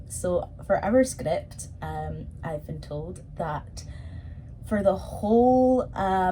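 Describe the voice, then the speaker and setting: A woman's voice: on the low side, a little mellow, slight background noise, poor audio. A woman who performs in pantomime, answering questions in a casual, chatty way.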